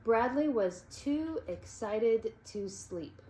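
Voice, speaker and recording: A woman's voice: fairly high pitch, lively intonation, slow pace, mellow. Woman reading a storybook in an echoey room. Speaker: read-aloud narrator. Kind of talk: expressive children's storybook read-aloud. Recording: echoey room with background noise.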